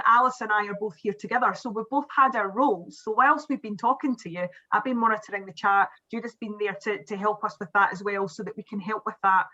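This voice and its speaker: A woman's voice: mid-range voice, sharp tone, highs cut off. A woman presenting on an online webinar, chatting casually with fillers and restarts over a video call. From Scotland.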